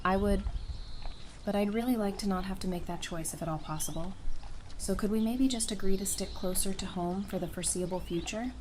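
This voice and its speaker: A woman's voice: medium pitch, brisk, noisy background, close to an everyday voice. A woman playing an anxious, loving wife and mother among survivors in an audio drama, emotional and plain-spoken. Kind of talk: fan audio drama acting.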